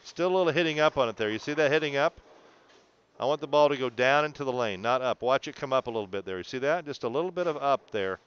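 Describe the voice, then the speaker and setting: A male sports coach talks a student through video footage in a smooth, clear voice at a medium pitch. He explains step by step and encourages as he goes, often checking for understanding. The sound is a little dull and lo-fi. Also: animated, quick pace. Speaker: bowling coach. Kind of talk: instructional video analysis, coaching a student one to one.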